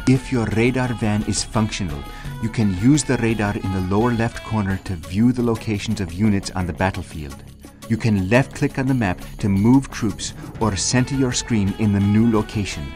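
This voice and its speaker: A man voicing a measured, dutiful military battlefield advisor in scripted announcements for a strategy video game. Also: fairly low pitch, darkish tone, lightly acted. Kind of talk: scripted game tutorial and status alerts. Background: music.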